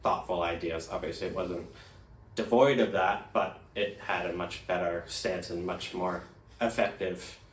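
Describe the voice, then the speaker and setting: American guy with a low voice answering interview questions, kinda halting, mic sounds far off. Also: fairly even intonation. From United States. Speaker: interviewee, migrant. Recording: echoey room, distant microphone.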